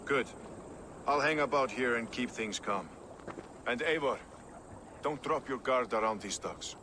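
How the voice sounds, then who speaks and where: A man playing a steady, wry Viking ally in a video game cutscene, calm and understated. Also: mid-range voice, a little slow, a little hiss or hum. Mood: calm, reassuring.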